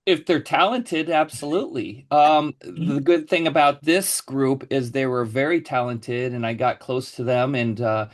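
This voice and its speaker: A man's voice: medium pitch, unhurried, hardly any pauses. A man, the film's writer, earnestly praising his cast in an interview. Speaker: screenwriter. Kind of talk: interview answer.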